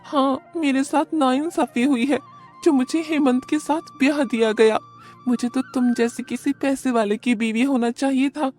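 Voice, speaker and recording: A woman's voice: high-pitched, steady tone, moderate pace, darkish tone, faint music behind, pitched above the performer's own voice. A woman voicing a vain, greedy young wife in a storybook cartoon, complaining and full of self-pity. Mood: coy, self-pitying.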